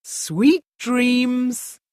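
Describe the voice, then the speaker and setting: A woman's voice: on the high side, very slow. A woman voicing a kindly, helpful grown man in a children's storybook cartoon. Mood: gentle.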